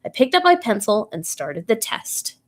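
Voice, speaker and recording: A woman with a fairly high-pitched voice reads a bedtime story for children. Her delivery is expressive and animated, and she speaks directly to her young listeners, asking them questions as she goes. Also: normal speed.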